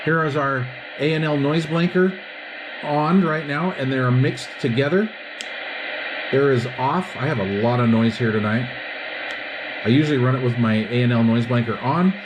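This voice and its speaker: Guy doing a casual gear demo, explains it step by step, middle pitched voice, some noise behind him. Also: moderate pace. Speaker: product reviewer. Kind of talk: casual hands-on product demonstration.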